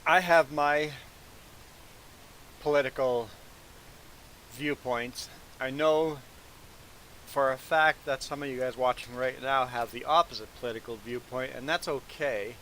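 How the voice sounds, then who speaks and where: A man's voice: mid-range voice, a little slow, pauses often, rough recording. Sniffly man chatting casually over hiss. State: sniffly nose from congestion. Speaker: live streamer. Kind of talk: casual live stream chat. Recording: steady background hiss.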